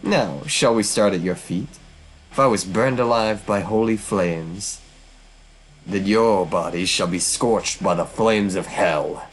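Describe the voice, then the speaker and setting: A man's voice: lowish voice, slow, breathy edge, poor audio. A man voicing a vengeful witch woman from hell, speaking in a theatrical, formal and taunting way. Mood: cruel, mock sorrow.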